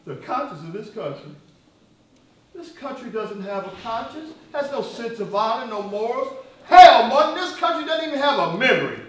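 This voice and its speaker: An actor playing a defiant, militant freedom leader on stage, speaking in emphatic, accusatory oratory with deliberate pauses. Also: male voice, extremely high pitch, very animated. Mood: indignant, scornful. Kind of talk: live stage play acting. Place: stage in a reverberant room, recorded from afar. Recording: echoey hall, distant microphone.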